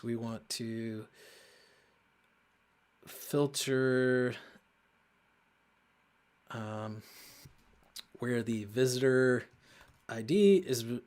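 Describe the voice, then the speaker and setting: A man hosting a casual coding walkthrough, thinking aloud in a halting way as he works and often muttering to himself. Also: mid-range voice, a little mellow. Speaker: host of a coding show.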